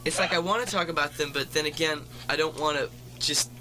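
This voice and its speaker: A man's voice: fairly high pitch, fairly even intonation, fairly quick, low-quality recording. A man being interviewed as a musician guest on a campus radio show, rambling with wry jokes and asides.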